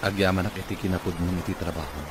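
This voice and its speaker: Radio drama gentleman male actor: lowish voice, weary yet courteous, gentle delivery, noticeable noise behind. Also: steady tone, fast talker, lightly acted. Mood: grateful. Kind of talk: Ilocano radio comedy-drama acting.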